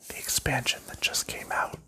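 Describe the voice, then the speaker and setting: Man whispering a calm ASMR unboxing. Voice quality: soft unvoiced whisper. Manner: slow, calm, explanatory. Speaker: ASMR board game reviewer.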